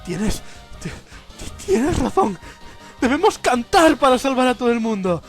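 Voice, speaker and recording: Parody cartoon part: naive, overblown heroine, played by a woman, very animated delivery over light music. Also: fairly high pitch, unhurried, voice pushed up. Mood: mock earnest.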